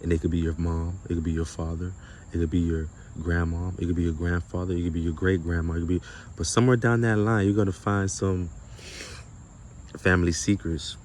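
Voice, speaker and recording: A man's voice: low voice, flat delivery, fairly quick, a little mellow, a little hiss or hum. A man giving an informal, slangy spiritual monologue in an emphatic, repetitive manner, often posing rhetorical questions. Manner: casual and slangy with some swearing. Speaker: spiritual content creator and speaker.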